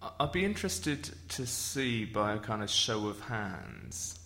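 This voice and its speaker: A man chairing a church conference session, speaking in a measured, thoughtful way. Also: on the low side, big pitch swings, vocal fry, a bit bright. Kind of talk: chairing a discussion.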